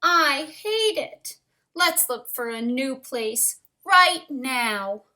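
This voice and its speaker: A woman voicing a fussy, bossy female bird in a storybook, with emphatic, drawn-out complaints. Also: high voice, animated, pushed and bright, pitched above the performer's own voice, heavily acted. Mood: fed up.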